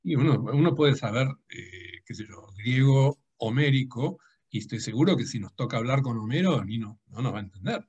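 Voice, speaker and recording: A man's voice: medium pitch, animated, normal speed, a little mellow, breathy edge, muffled recording. A man whose first language is Dutch, speaking hesitantly on a video call with frequent restarts. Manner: hesitant, many restarts and fillers. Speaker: guest translator. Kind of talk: conversational discussion.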